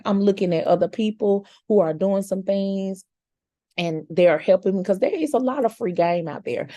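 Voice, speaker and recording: Raspy woman, chatty coach, low-ish, mellow, dry close sound. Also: dry recording. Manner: chatty, encouraging, repeats words for emphasis; informal slang. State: slightly hoarse. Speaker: content coach. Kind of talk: casual coaching talk.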